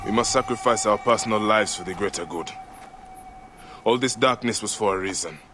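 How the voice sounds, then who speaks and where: A man's voice: on the low side, fairly quick, over music, close to an everyday voice. Actor as solemn, grieving Egyptian warrior man. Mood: solemn, resigned. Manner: solemn, weighty, declarative. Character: grieving, resolute Egyptian warrior, former father and husband. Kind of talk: dramatic video game cutscene acting.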